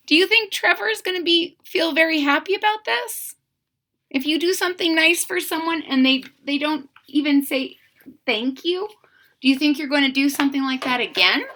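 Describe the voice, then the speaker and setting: A woman reading a picture book aloud in a theatrical, drawn-out way, coaxing young listeners with questions and explanations. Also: high voice. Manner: animated, theatrical, drawn-out emphasis; coaxing, explaining asides to children. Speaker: children's story reader and channel host.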